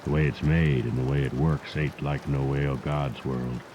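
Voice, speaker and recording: A man voicing a frightened old farmer in a horror audiobook, speaking a rustic country dialect in hushed, grave tones. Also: very deep voice, fairly even intonation, moderate pace, pitched below the performer's own voice. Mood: hushed, fearful. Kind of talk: horror audiobook character dialogue. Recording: muffled degraded cassette tape with rain noise. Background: rain and storm sounds.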